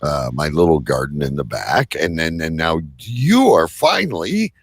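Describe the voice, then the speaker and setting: A man with a low, creaky, husky voice chats as a show co-host. His delivery is casual, with pitch that swings in a lively way. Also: unhurried, no echo, studio quality. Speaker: co-host of a live online show. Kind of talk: casual chat.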